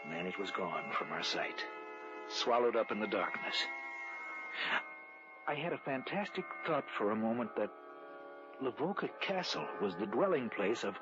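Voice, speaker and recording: An actor with an American accent playing a thoughtful traveler who narrates a suspense tale in an old radio drama, measured and brooding. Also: male voice, fairly low pitch, big pitch swings. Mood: brooding, suspenseful. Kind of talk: 1940s-50s radio drama narration and dialogue. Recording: dull vintage radio recording, music behind. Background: dramatic music.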